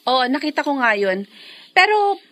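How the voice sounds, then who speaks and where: An actress playing an eager, chatty adult daughter in a Filipino radio drama, speaking emphatically and conversationally. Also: female voice, sits high, big pitch swings, normal speed, fairly bright tone. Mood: curious, businesslike.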